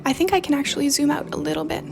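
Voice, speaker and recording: A woman's voice: high voice, fairly even intonation, rapid-fire, vocal fry. A young woman with a slight Romanian accent chats casually while she hosts a journaling livestream. She reads viewer comments aloud and answers them. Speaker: livestream host. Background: faint background music.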